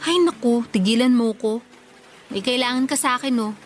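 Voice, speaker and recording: A woman's voice: a little high, normal speed, a little hiss or hum, natural voice. A woman playing a dutiful but exasperated young working daughter in a radio drama, polite and firm. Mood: wary.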